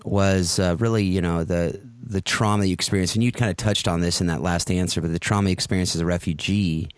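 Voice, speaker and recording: Male podcast host, American, lower register, chatty conversational interviewing, very dry close sound. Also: fairly even intonation, quick pace, dry recording. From United States.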